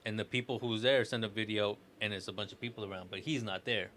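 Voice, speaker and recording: A man's voice: fairly low pitch, fairly even intonation, fast, pushed and bright, slight background noise. Slangy, emphatic man chatting. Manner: slangy, emphatic, addresses others as bro. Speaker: podcast co-host. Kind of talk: casual podcast chat.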